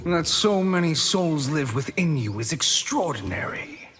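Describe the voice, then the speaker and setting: An actor playing a sly, haughty sorcerer in a fighting game, formal, measured and smug. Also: male voice, a little high, sing-song, in a large hall, music in the background. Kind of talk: video game fighter dialogue.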